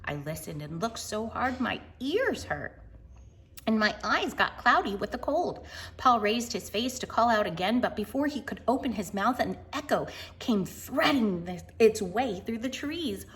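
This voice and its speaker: A woman reading a picture book aloud to children in an expressive, wonder-filled way, with chatty asides and hushed moments. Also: on the high side, unhurried. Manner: animated, wonder-filled, addressing young listeners, dropping to hushed tones.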